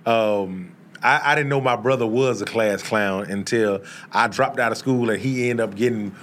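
A man's voice: lowish voice, normal speed, a bit bright. A man hosting a casual podcast interview, talking in a laid-back, joking way. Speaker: podcast host. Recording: faint background noise.

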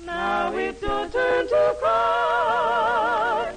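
Woman singing high vintage jazz. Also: big pitch swings, drawn-out words, low-quality recording. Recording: vintage radio broadcast with loud band. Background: loud music accompaniment.

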